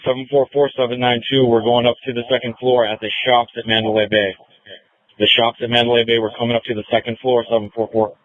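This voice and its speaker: A man's voice: mid-range voice, level tone, fast, no room sound. A male police officer making terse status reports over a police radio. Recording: tinny narrowband police scanner radio audio.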